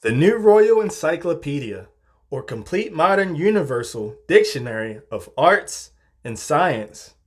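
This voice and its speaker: A man reading scripted narration in a formal, measured way, like reference-book entries. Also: on the high side, big pitch swings.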